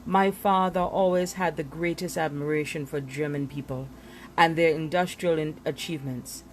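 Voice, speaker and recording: A woman reading glossary entries aloud from a book in an expressive style, giving headwords deliberate, dramatic emphasis. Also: low voice, slight background noise. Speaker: reader of a book.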